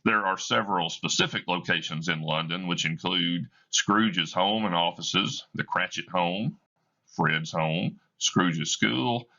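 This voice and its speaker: A male teacher reading a play aloud for a class, explaining slowly and deliberately. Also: sits low, bright, forceful tone, dull audio.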